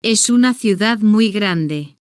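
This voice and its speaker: A woman reading a simple first-person story for language beginners, in slow, deliberate, evenly paced short sentences. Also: mid-range voice, animated, dry recording, studio quality. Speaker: language-learning narrator.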